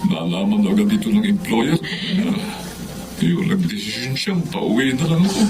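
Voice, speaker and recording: An actor playing an affectionate, ailing middle-aged Filipino father in a television drama, tender, emotional and halting. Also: on the low side, very animated, very noisy. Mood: hesitant, grave.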